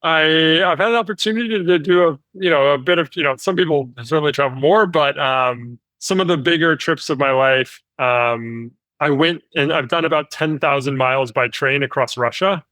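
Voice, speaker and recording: American man, interview guest, higher-pitched, dry close sound, chatty storyteller. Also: moderate pace, hardly any pauses, dry recording. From United States. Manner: animated storytelling with frequent fillers. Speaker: guest, wellness company founder. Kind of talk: conversational interview.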